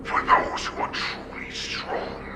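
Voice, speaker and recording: A man playing an ancient wolf-like warlord in a video game, proud and menacing, with a growling voice and slow, grandiose, breathy pronouncements. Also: lightly acted. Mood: proud, defiant. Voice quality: very deep, gravelly growl. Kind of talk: dramatic video game villain acting. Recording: roomy reverb with music behind.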